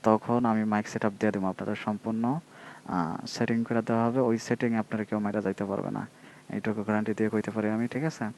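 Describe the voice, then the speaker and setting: A man with a Bangladeshi accent giving a casual, repetitive sales pitch and tutorial, often checking in with short tag questions. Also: little pitch movement, racing, gravelly, a little mellow, a little hiss or hum. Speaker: online seller presenting a product demo.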